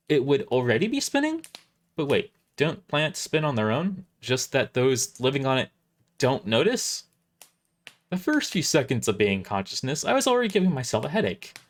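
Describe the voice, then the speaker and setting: Animated male story narrator. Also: medium pitch. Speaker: narrator reading a story on a livestream. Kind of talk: animated scripted narration with casual asides.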